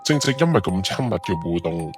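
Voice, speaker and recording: A male podcast narrator with a Hong Kong accent and a fairly low voice. He reads a scripted ghost-story introduction, with music clearly playing behind him. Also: big pitch swings, a little fast. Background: clear background music.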